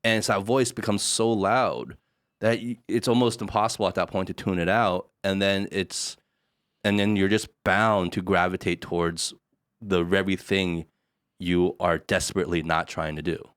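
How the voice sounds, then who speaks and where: A man co-hosting a podcast, speaking in a relaxed, reflective way with frequent fillers. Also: low-pitched, normal speed, clean tone, dry recording, clean studio sound. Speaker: podcast co-host. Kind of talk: casual podcast discussion.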